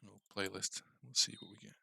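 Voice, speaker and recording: Man, gravelly low voice, informal coding stream host, thinking aloud, close dry sound. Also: fairly even intonation, fast talker, sharp tone, no room sound. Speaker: game development tutorial host. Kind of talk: casual coding livestream commentary.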